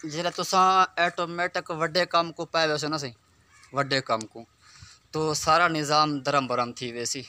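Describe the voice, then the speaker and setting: A man's voice: fairly high pitch, fairly even intonation, normal speed, pushed and bright, smooth. A man in his thirties with a Saraiki accent, talking earnestly to his phone camera outdoors on a farm and giving advice to his friends. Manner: earnest, advising friends, repeats phrases. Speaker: vlogger. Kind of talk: casual vlog to camera. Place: outdoors on a farm beside palm trees. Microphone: phone held at arm's length. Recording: outdoor phone audio with background noise.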